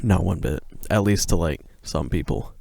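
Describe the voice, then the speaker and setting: A man's voice: low-pitched, normal speed, vocal fry, warm tone. A tired man co-hosting a casual podcast, rambling and laid-back, swearing freely and leaning on "like" as filler. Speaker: podcast co-host.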